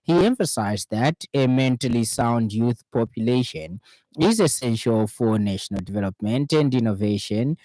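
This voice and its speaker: A male news reader with a Zambian accent delivers a formal radio bulletin. His voice sits at a medium pitch, and he works through the script in measured phrases. The sound is dry, with very little room echo. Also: mellow.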